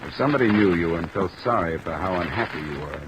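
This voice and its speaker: An actor playing a cool, probing insurance investigator in a 1950s radio drama, measured, dry and matter-of-fact. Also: male voice, low-pitched. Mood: probing, calm. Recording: old radio recording under loud rain noise. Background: steady rain sounds.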